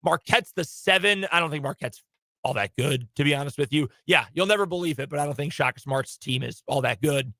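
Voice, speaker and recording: A man hosting sports talk radio, giving opinionated, conversational commentary in a hoarse voice from a studio booth. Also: on the high side, a little fast, pushed and bright, no room sound. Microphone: close studio microphone.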